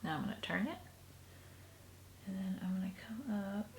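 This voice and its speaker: A woman, sniffly, softly talking through a stitching project in a casual tutorial, often trailing into a half-whisper. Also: medium pitch, moderate pace, creaky, slight background noise. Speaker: crafter showing a stitching project. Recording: echoey room recording.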